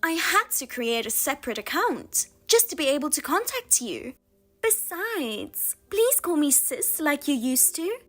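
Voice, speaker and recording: A woman voicing a spiteful younger sister who steals husbands, with a high, sing-song, mocking manner. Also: big pitch swings, a bit bright, faint music behind, heavily acted. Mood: taunting. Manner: mocking, self-satisfied, drawn-out teasing. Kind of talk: narrated drama voice-over.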